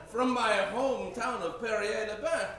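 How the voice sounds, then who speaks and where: A man's voice: high-pitched, unhurried, a bit bright. A man acting a thirsty, effusive Frenchman in a comic old time radio advertising skit. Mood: delighted, gushing. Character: thirsty, gushing French man sampling sparkling water. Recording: echoey room, distant mic, faint noise.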